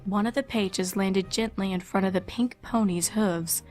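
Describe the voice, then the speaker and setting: A woman's voice: medium pitch, moderate pace, darkish tone. A woman reading scripted fan fiction as a storybook narrator. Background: background music.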